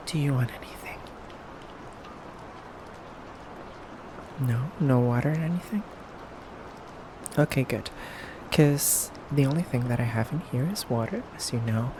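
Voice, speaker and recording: A man playing a menacing, teasing male vampire captor in an ASMR roleplay, speaking softly and hesitantly. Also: mid-range voice, close to an everyday voice. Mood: calm, teasing. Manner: slow, hesitant, teasing, quietly threatening. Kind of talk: ASMR roleplay addressed to the listener. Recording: clearly noisy background ambience.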